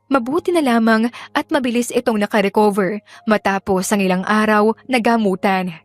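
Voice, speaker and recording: A woman's voice: medium pitch, dry recording. A woman narrator reading scripted romantic fiction as dramatic storytelling. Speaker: audiobook narrator.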